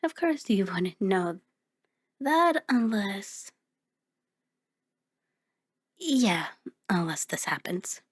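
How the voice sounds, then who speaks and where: A woman voicing a kind, playful mirror reflection in an ASMR roleplay, speaking gently and encouragingly with hesitant pauses. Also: mid-range voice, big pitch swings, breathy edge, exaggerated voice. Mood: shy, playful. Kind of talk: ASMR roleplay, addressing the listener.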